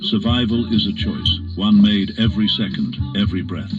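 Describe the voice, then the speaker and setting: A man's voice: fairly low pitch, moderate pace, close to an everyday voice. A man playing a grave, brooding narrator of a war drama trailer, speaking in terse, dramatic phrases. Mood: grave, ominous. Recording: dull lo-fi radio sound. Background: guitar music.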